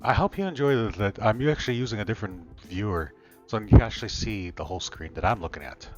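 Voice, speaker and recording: A man's voice: lowish voice, lively intonation, fast. A man chatting casually during a game stream, hesitant and repeating his words, on a dull mic with music behind.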